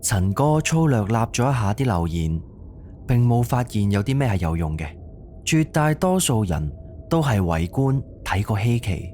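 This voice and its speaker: Man, Cantonese accent, lowish voice, animated dramatic narration, suspense storytelling, slight background noise. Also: moderate pace.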